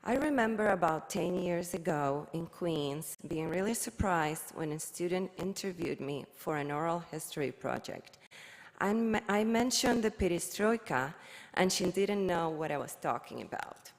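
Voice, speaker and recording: A middle-aged woman from Cuba, giving a measured, careful reflection at a service. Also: on the low side, expressive. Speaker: worship leader. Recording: long hall reverb.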